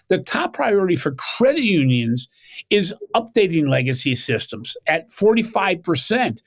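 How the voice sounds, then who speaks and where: A man hosting a banking podcast, reading a scripted introduction with emphatic, animated delivery and then asking a guest questions. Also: high-pitched. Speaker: podcast host.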